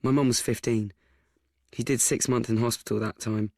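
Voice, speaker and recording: A man's voice: medium pitch, fairly even intonation, normal speed, a little mellow, no echo, clean studio sound, natural voice. A young man playing an awkward, earnest, nervous teenage schoolboy in a radio play, hesitant and rambling. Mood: earnest, confiding. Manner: hesitant, rambling, self-conscious. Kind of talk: radio play acting with confiding narration.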